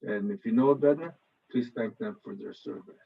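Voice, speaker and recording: A man in his fifties, born in Korea, with a Los Angeles Koreatown accent, giving a casual info session presentation on a video call and often pausing on filler sounds. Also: mid-range voice, creaky, breathy edge. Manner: hesitant, many filler sounds. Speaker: presenter, finance professional. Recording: slightly dull video call audio.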